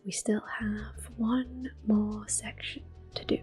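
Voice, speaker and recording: A woman softly whispering gentle, unhurried ASMR commentary as they play a video game, explaining each step in a breathy voice. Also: medium pitch, little pitch movement, faint music behind. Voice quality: breathy whispering, soft-spoken. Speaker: gaming ASMR video host. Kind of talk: soft-spoken ASMR gameplay commentary.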